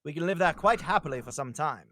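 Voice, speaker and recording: A woman's voice: deep voice, animated, fast, a bit bright, no echo, studio quality, pitched below the performer's own voice. A woman playing a cocky, defiant adventurer hero in a live table read.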